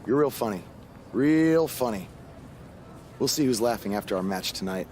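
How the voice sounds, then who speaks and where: A man's voice: medium pitch, lively intonation, moderate pace, a little mellow, slight background noise, natural voice. An actor playing an earnest, suspicious young male wrestler in a video game, speaking in a pointed, confrontational way. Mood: sour, defiant. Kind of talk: acted cutscene dialogue.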